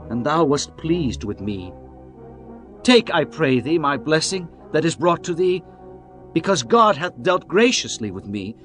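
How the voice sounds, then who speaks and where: A man voicing Jacob, an anxious older patriarch who pleads humbly, over soft music. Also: on the high side, pitched above the performer's own voice.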